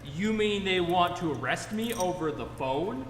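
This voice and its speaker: An actor playing a cocky, hip alien adventurer in a comic stage radio play, brash and slangy. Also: male voice, sits high, expressive, a little slow, slight background noise. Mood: cocky, baffled. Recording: echoey hall, live stage.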